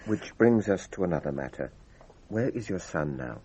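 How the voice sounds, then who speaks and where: A man's voice: sits low, animated, moderate pace, mellow, husky, low-quality recording. A man acting in a radio drama as a formal, polite examining magistrate who questions methodically. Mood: probing. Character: formal, considerate male French examining magistrate questioning a witness.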